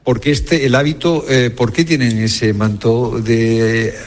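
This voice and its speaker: A man conducting an on-location interview, halting as he searches for words. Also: mid-range voice, steady tone. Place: small convent parlour, indoors.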